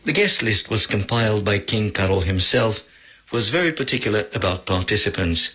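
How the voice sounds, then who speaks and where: A man's voice: fairly low pitch, expressive, a little fast, bright, forceful tone. A man narrating a scripted radio feature on a digital shortwave broadcast. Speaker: radio presenter.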